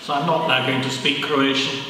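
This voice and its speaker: A man with an English accent giving a prepared lecture to an audience, with dry, measured delivery and wry asides. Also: mid-range voice, little pitch movement. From England. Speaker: guest speaker. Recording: echoey hall from far off, faint hiss.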